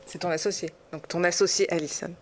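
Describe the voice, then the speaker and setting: A woman's voice: fairly low pitch, steady tone, fast, crowd noise. A woman hosting a podcast, interviewing in a casual conversational way. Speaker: podcast host.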